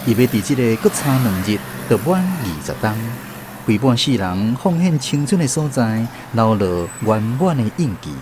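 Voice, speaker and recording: A man's voice: medium pitch, very animated, moderate pace, noisy background. A man with a Taiwanese accent narrating a scripted literary radio drama.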